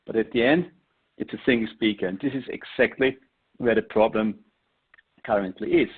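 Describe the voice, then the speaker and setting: A man's voice: fairly low pitch, slightly rough. Austrian man on a tinny line. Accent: Austrian German. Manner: halting, searching for words, frequent restarts. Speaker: webinar presenter. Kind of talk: unscripted webinar talk.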